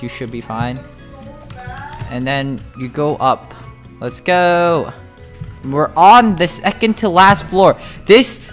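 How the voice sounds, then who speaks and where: A gamer giving a casual, chatty video game walkthrough, talking informally and straight to viewers. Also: mid-range voice. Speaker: gamer making a tutorial. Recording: music playing behind the voice. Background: music.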